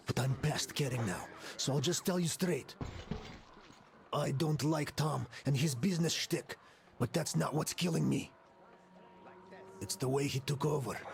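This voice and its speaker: A man's voice: medium pitch, vocal fry. An actor playing a blunt, defiant, fed-up crewman in a post-apocalyptic video game. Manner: blunt, sarcastic. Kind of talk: video game cutscene acting.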